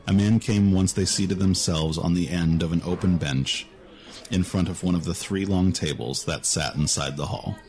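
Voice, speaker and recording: A man's voice: low voice, normal speed, a little mellow. A man narrating a scripted fantasy story as an audiobook narrator.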